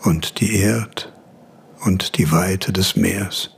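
A man acting a dying old philosopher in a radio play, faint and nearly toneless, in halting phrases. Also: low voice, fairly even intonation, full character voice. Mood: faint, solemn. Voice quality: faint, breathy, almost toneless. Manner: halting, laboriously slow speech.

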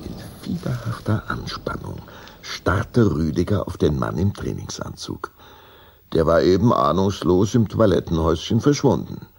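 A man narrating a children's audio drama in a gravelly, husky voice, with a deliberate, suspenseful delivery. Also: low-pitched, very animated, darkish tone, full character voice.

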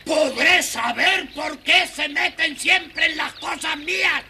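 An actor voicing a grumpy, greedy, ungrateful little dwarf, whining and scolding in a children's audio storybook. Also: male voice, very high-pitched, takes it slowly, bright, forceful tone, shouting, exaggerated voice. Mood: indignant scolding. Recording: noisy old children's record.

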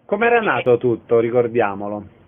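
A man's voice: mid-range voice, sing-song, normal speed, narrow, tinny audio. A man acting as a radio interviewer, giving introductions and putting questions to a guest. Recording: thin, band-limited radio sound.

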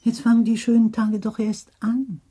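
A woman's voice: on the high side, little pitch movement, normal speed, dark tone, husky. A woman playing a polite, inquisitive society lady in a radio drama, formal and solicitous in manner. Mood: polite, probing.

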